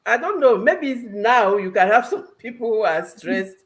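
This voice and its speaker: A woman's voice: on the high side, lively intonation, measured pace. A woman from Cameroon with a Cameroonian accent, explaining conversationally as a talk show guest, with emphatic repetition.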